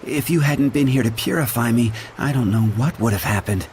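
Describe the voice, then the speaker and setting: A man voicing a solemn, humble water spirit in a video game, speaking in a slow, measured, formal way. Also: mid-range voice, a little mellow, a little hiss or hum, natural voice. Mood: remorseful. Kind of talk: English game voice acting.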